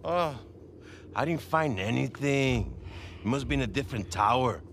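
An actor playing a shrewd, menacing prison warden, dry and commanding, in a video game. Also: male voice, medium pitch, lively intonation, normal speed, noisy background, lightly acted. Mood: dry menace. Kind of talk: naturalistic video game acting. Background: background music.

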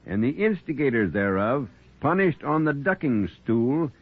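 An actor playing a folksy, exasperated village judge with an American accent and homespun talk, in 1940s radio drama style. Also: male voice, mid-range voice, big pitch swings, normal speed. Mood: reluctant, exasperated. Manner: folksy, homespun rural way of talking. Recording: scratchy, husky-sounding 1940s radio recording, phone-like.

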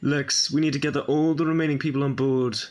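A man playing an ancient, quick-witted time traveller in an amateur audio drama. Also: on the high side, level tone, darkish tone, natural voice. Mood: brisk, wry.

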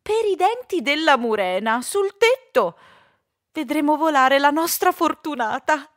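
A woman's voice: high-pitched, expressive, a little slow, fairly bright tone, no room sound, clean studio sound, voice pushed up. A woman voicing a salty, blustering male ship's cat in an exclamatory storybook style. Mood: excited.

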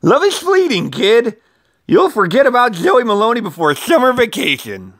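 A storyteller voicing a bossy, scornful paper Valentine heart in a loud, dramatic storybook style. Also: mid-range voice, huge pitch swings, normal speed, dry recording, clean studio sound, pitched above the performer's own voice, full character voice. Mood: bossy, dismissive.

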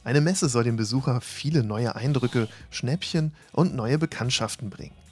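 Husky man narrating over light music. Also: medium pitch, moderate pace. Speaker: channel host narrating event report. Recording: faint music bed behind voice.

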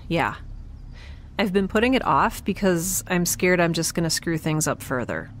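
A woman's voice: on the low side, expressive, normal speed, natural voice. A woman playing a chatty, self-doubting young spacefarer in an audio drama, with a casual, hesitant delivery. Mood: anxious, apologetic. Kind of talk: naturalistic audio drama acting. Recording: faint background noise.

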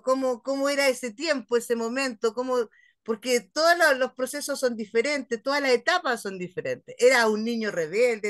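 A woman in her forties with a Chilean accent, interviewing casually over a video call from home. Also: on the high side. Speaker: interviewer, host. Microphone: computer mic on a video call.

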